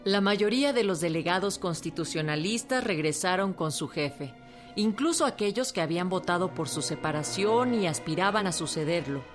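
A woman narrates history from a script in radio style. Her pitch is on the low side. Clear music accompanies her. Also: expressive, moderate pace.

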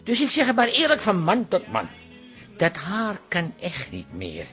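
A man's voice: high voice, huge pitch swings, unhurried, pushed and bright, faint music behind, heavily acted. A catty hairdresser in a radio comedy. His voice swoops extremely widely, theatrical and insinuating, as he fusses and meddles. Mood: insinuating, disapproving. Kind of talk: Dutch radio comedy drama acting.

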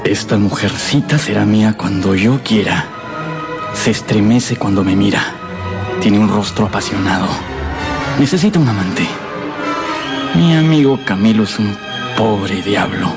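A man's voice: fairly low pitch, expressive, measured pace, pauses often. A man acting in a radio drama as a self-assured, predatory young painter and lover. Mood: smug, scheming. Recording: music behind the voice.

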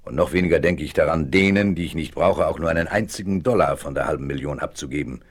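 A man's voice: extremely low pitch, fast. A man playing a ruthless, scheming gang boss in a German radio play, cynical and menacing. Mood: contemptuous. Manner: sneering, menacing. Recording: 1970s vinyl radio play.

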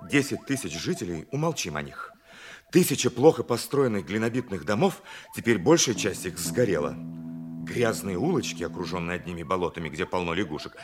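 An actor playing an aggrieved, ruined dentist in an audio drama, fussy, emphatic and self-justifying. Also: male voice, on the low side. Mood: bitter, aggrieved. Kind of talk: theatrical audio drama monologue.

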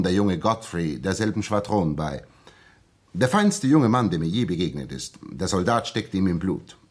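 Earnest husky-voiced former soldier. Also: male voice, lowish voice. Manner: earnest, respectful, straightforward. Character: earnest, frank ex-soldier stockbroker, male client. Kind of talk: 1960s German radio play acting.

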